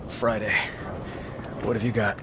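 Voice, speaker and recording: A man's voice: lowish voice, little pitch movement, unhurried, background noise, natural voice. A man playing a weary, stubborn armoured hero in a video game, wry, clipped and determined, sounding strained. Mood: strained, focused. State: weakened, strained.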